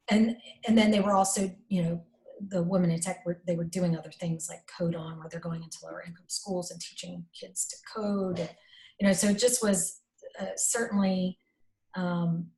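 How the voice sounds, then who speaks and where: A middle-aged woman speaks in a somewhat low voice. She talks casually as an interview guest, full of fillers and restarts. She livens up when she retells a conversation. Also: normal speed, low-quality recording. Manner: animated, acts out quoted dialogue, many fillers; self-described strong voice, not shy. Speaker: interview guest, company executive.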